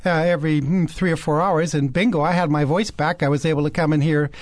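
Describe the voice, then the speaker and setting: A man's voice: fairly high pitch, steady tone, quick pace, no room sound. Opinionated radio man, dry studio. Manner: opinionated, hesitant with many fillers. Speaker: radio health show co-host. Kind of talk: casual radio talk.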